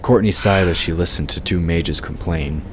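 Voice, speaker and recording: A man with a deep voice delivers scripted fantasy narration. There is clear noise and ambience behind his reading. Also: normal speed.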